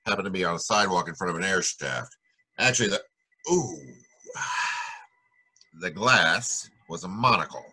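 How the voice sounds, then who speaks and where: Guy doing a tough american noir detective, low raspy forceful voice, wry, old radio style. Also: animated, unhurried, pauses often, exaggerated voice. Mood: explaining. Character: hardboiled, wisecracking private detective. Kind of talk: 1940s radio noir acting and narration.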